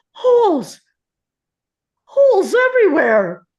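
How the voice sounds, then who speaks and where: A woman's voice: very high-pitched, wildly swooping pitch, slow pace, a little mellow, full character voice. A woman at a script table read playing an indignant, anxious husband and aspiring comedian, exasperated and incredulous. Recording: dull, lo-fi sound.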